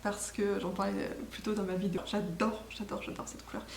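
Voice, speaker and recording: A woman's voice: medium pitch, flat delivery, a little fast, vocal fry. Woman chatting in an echoey room. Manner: enthusiastic, rambling, self-correcting. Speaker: knitting podcast host. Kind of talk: casual video podcast chat. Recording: echoey room with faint background noise.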